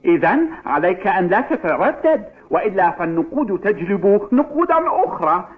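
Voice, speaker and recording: A high-pitched, jovial rich father. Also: sing-song, moderate pace. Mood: smug, jolly. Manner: effusive, frequent chuckling. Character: jovial, boastful rich father, fawning club official. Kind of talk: dubbed cartoon acting in formal Arabic. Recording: tinny, band-limited old TV dub.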